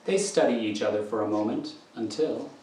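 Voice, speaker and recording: A man's voice: fairly low pitch, fairly quick, mellow. A man reading scripted stage directions as the narrator of a radio drama. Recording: echoey room, prominent music behind.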